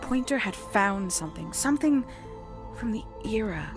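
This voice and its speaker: A woman voicing a composed, calculating young woman in a video game, speaking in a measured, formal, theatrical way as she confesses. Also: medium pitch, animated, over music, close to an everyday voice. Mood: cool, calculating. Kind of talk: dramatic scripted confession monologue.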